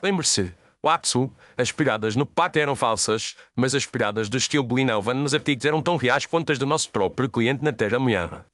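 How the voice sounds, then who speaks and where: An actor playing an analytical, authoritative detective with a formal, didactic manner in an audio mystery story. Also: male voice, mid-range voice, lively intonation, a little fast, few pauses, fairly bright tone, no echo, clean studio sound, lightly acted. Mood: composed, didactic.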